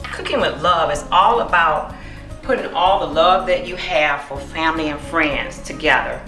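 A middle-aged woman, a cookbook author, demonstrating a recipe in her home kitchen, explaining each step in a warm, encouraging way. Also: low-pitched, normal speed. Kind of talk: cooking demonstration. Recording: echoey kitchen with music behind.

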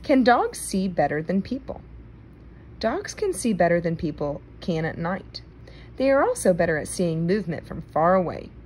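A woman reading a children's nonfiction book aloud, phrasing it clearly for young listeners, recorded indoors on a handheld phone. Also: lowish voice, big pitch swings, normal speed, a little mellow, slight background noise.

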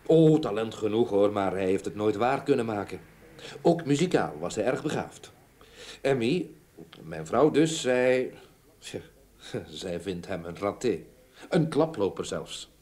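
A genteel middle-aged businessman in an old radio play. His deep-set voice swings widely in melody as he hesitantly recalls the past with melancholy. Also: dark tone. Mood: wistful, candid. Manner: hesitant, reminiscing. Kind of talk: old radio drama acting.

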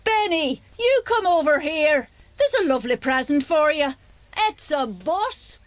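Protective, indulgent mother, children's audio play: high voice, sing-song swings, chatty. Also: sing-song, a little slow. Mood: coaxing. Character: fond, chatty, protective mother living in a caravan.

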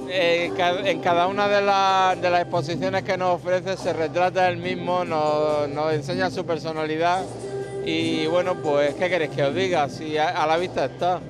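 A middle-aged man praising an exhibition in a halting way with many restarts, at a handheld mic in a gallery. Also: sits high. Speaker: interviewee. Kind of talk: street interview. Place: crowded gallery indoors. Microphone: handheld reporter mic.

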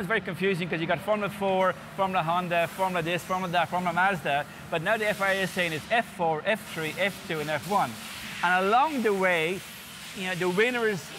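Man in his 50s, organizer interview, quite high voice, eager explaining, noisy backdrop. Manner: enthusiastic, explains with lists and repeats words. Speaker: series organizer. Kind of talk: sit-down interview. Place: indoor interview set before a sponsor backdrop. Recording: clear background noise.

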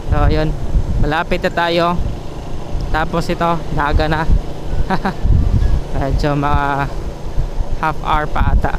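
A man casually narrating to his viewers while riding a motorcycle on a highway. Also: slow pace, pauses often. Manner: relaxed, chatty, talking to viewers as "guys". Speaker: motorcycle vlogger. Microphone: helmet or action camera mic. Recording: wind noise with loud background music.

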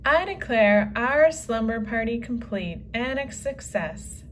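A woman's voice: fairly high pitch, lively intonation, takes it slowly, slight background noise. A woman voicing a vain, whimsical unicorn in a children's comic, lofty and self-satisfied. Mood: grand.

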